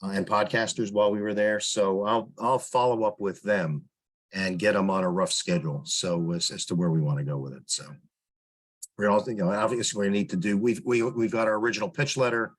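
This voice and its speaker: Low-pitched man with a husky edge, casual meeting talk. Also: fairly even intonation, a little fast. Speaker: public relations volunteer. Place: online conference call.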